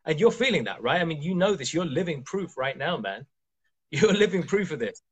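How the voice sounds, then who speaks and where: A man's voice: on the high side, big pitch swings, moderate pace, slightly rough. A man from Kenya, a coach hosting a podcast, who talks emphatically and keeps asking rhetorical questions. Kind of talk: casual interview chat.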